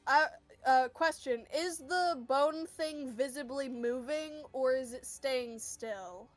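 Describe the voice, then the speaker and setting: A woman's voice: sits high, takes it slowly, faint music behind. A woman playing a tabletop game, chatting casually and narrating what a character feels.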